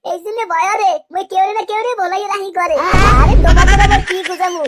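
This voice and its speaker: A very high, coy cartoon voice for a sly, flirtatious village wife, played by a woman. She has a Barishal Bengali accent and sounds suggestive, over a clearly noisy track. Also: steady tone, quick pace. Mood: coy, complaining. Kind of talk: comic cartoon dialogue.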